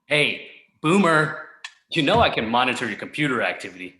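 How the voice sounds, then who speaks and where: A man's voice: mid-range voice, very animated, pushed and bright, roomy sound. A man playing a smug, condescending young yuppie boss in a comedy table read. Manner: condescending, drawn-out delivery.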